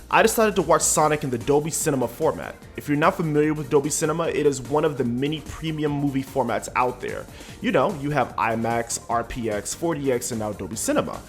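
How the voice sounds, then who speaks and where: A young adult man narrating a review as a YouTube host, casual and scripted. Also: medium pitch. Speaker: YouTube movie and game reviewer. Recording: voice over background music. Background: music.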